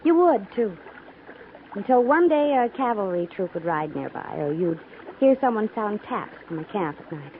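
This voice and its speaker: A woman's voice: mid-range voice, animated, normal speed, natural voice. An American woman acting a self-reliant, playfully teasing frontier woman in a 1950s radio drama, with a wry, easygoing manner. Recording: muffled 1950s radio recording, faint noise.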